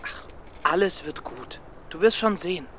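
A man playing a fussy, optimistic scholar and storyteller in a children's radio play, expressive and prone to stammer. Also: high voice, moderate pace, a little hiss or hum. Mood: encouraging.

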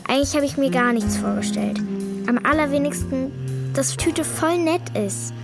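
Blunt, high-voiced schoolgirl. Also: lively intonation, moderate pace, over music. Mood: matter-of-fact. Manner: colloquial, matter-of-fact, defiant. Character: blunt, cheeky schoolgirl telling her story reluctantly. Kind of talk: children's radio play acting.

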